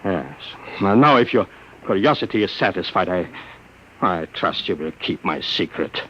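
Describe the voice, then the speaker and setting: A stammering, husky professor. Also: male voice, sits low, lively intonation, moderate pace, fairly bright tone, full character voice. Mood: anxious. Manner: stammering, flustered. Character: nervous older male scientist. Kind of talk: 1950s radio drama acting. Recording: muffled old radio broadcast.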